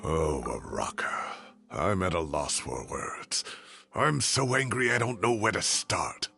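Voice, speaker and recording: An actor playing a grumbling, theatrical elderly professor in a video game, exasperated and expressive. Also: male voice, low voice, slow pace, vocal fry, soft background music, full character voice. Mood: dismayed. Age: older.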